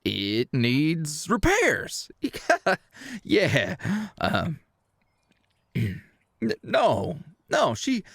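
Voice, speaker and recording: An actor playing a friendly, awkward, protective rancher with a Southern US accent and hesitant, stammering, folksy speech in an ASMR roleplay. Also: male voice, on the high side, wildly swooping pitch, very slow, creaky, fairly bright tone, slightly rough, dry recording, clean studio sound, full character voice. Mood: nervous, evasive.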